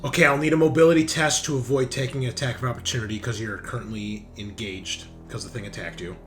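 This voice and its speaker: A man's voice: mid-range voice, fairly even intonation, fast talker, a bit bright. A man acting as game master in a tabletop roleplaying game, narrating action and explaining the rules. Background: background music.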